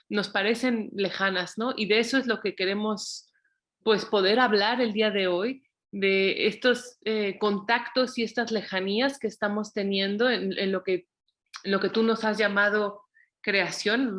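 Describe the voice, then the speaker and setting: A woman moderating an academic seminar over a video call, thanking the speaker with halting phrases, restarts and fillers. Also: medium pitch, fairly bright tone.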